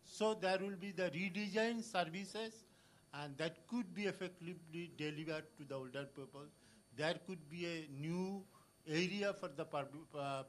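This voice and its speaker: Man from Bangladesh, conference audience comment, halting, fairly high voice. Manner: halting, many fillers and restarts. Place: conference hall.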